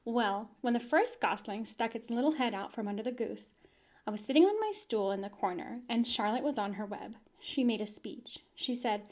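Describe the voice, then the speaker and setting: An eager, chatty young farm girl from a storybook, read by a woman. She bubbles on about the animals in a higher-than-usual voice, lively and bright in pitch. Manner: eager, rushing on with her story.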